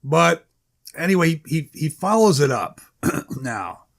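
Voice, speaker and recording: A man giving an informal, unscripted author's introduction to his writing, rambling and halting as he corrects himself. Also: fairly high pitch, sing-song, creaky. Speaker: author introducing own short story.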